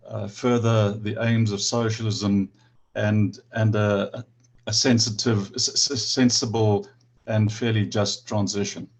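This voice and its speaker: A man with a South African accent speaking on an online panel, halting, with many restarts and stammers. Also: medium pitch.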